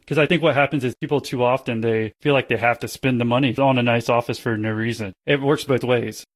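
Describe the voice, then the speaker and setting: A man's voice: medium pitch, fairly even intonation, fairly quick, no room sound. A man hosting a podcast interview, asking casual conversational questions. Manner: conversational questions and read ad spot. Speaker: podcast host.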